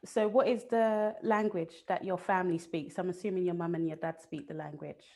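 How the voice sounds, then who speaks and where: A woman's voice: lowish voice, steady tone, normal speed, a little mellow. An upbeat English woman hosting a food story interview, laughing often and speaking over a video call. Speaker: host and food photographer.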